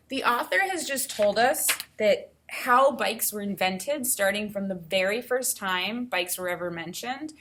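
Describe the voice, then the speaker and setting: A woman with a mid-range voice speaks as a teacher leading a read-aloud. She shifts between reading informational text and thinking out loud, explaining things step by step for learners. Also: medium pitch.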